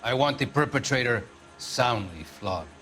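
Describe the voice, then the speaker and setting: A man's voice: medium pitch, lively intonation, measured pace, pushed and bright. A male actor playing a haughty, indignant Argentine consul general with a Spanish-speaking Latin American accent and formal, imperious diction in a video game. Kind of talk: dramatic video game acting. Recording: background music under dialogue.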